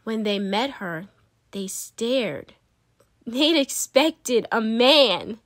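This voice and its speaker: Lady reading a kids picture book, takes it slow, really expressive storyteller, no room echo. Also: a little high, clean studio sound. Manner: dramatic, emphatic storytelling with playful asides. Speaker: read-aloud storyteller. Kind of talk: expressive children's picture book read-aloud.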